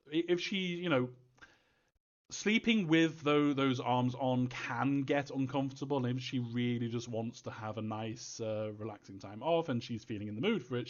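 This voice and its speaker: Male creator fielding fan questions: average pitch, halting, meandering casual delivery, slightly dull low-fidelity audio. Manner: hesitant, rambling, thinking aloud. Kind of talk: casual live Q&A talk.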